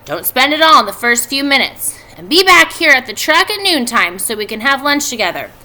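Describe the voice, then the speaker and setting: A woman's voice: high-pitched, sing-song, brisk, pushed and bright, voice pushed up, exaggerated voice, rough recording. A woman voicing a practical, cautioning farm father in a storybook, in a firm manner. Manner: firm, instructing.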